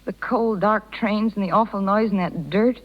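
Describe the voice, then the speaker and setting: A woman's voice: mid-range voice, normal speed, natural voice. A woman playing a tender, self-sacrificing young circus rider with a hesitant, pleading delivery in a 1930s radio drama. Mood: anguished, wistful. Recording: muffled 1930s radio broadcast.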